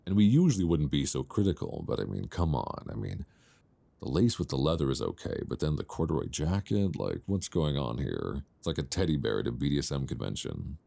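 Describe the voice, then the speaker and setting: A man playing an affectionate, gently teasing long-distance boyfriend in an intimate, unhurried ASMR roleplay voice message, speaking conversationally close to a binaural microphone. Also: very deep voice, big pitch swings, vocal fry, no echo, full character voice. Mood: fond, teasing. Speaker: audio roleplay performer.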